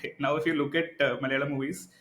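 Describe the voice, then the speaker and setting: A man co-hosting a podcast, talking in a casual, animated, argumentative way and often tagging his points with "right?". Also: a little high, fast. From India. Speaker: podcast co-host. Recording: echoey room.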